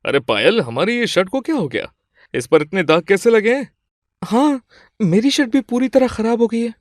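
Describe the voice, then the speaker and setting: A man's voice: high voice, lively intonation, moderate pace, dry recording, clean studio sound, voice pushed up. A man voicing an easygoing, friendly adult son in a moral story, light and good-natured. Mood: puzzled. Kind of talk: Hindi moral-story character acting.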